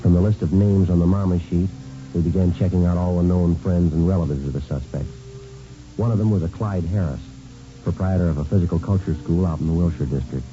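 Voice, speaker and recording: Guy doing low voiced radio detective, quick clipped matter-of-fact talk, dull old recording. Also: dark tone, faint music behind, close to an everyday voice. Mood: matter-of-fact narration. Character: male police sergeant detective, matter-of-fact, businesslike. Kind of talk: vintage radio police drama, scripted narration and dialogue. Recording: muffled vintage radio recording.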